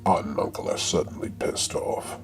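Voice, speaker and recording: A man reading scripted comic narration for an audio drama in a deadpan way. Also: moderate pace, soft background music.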